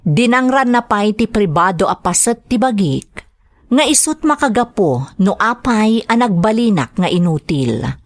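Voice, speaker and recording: Caring mother role for an actress, radio drama: earnest, persuasive, mid-pitched, very lively intonation. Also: unhurried, no echo, clean studio sound. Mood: sorrowful recounting. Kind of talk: Ilocano radio drama acting.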